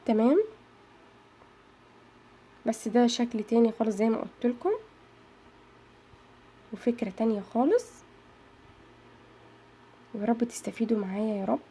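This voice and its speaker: A woman's voice: on the high side, normal speed, long pauses, dark tone, breathy edge. A woman with an Egyptian Arabic accent hosting a chatty craft tutorial and talking straight to her viewers. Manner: chatty, addresses viewers as girls. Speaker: craft channel host.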